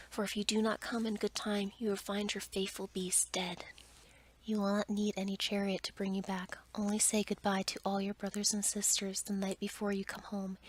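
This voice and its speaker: Storybook beast, male, gentle and mournful played by a woman, earnest hushed whisper with little pitch movement. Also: husky, lightly acted. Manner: solemn, earnest, softly whispered. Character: gentle, sorrowful male beast, devoted and pleading.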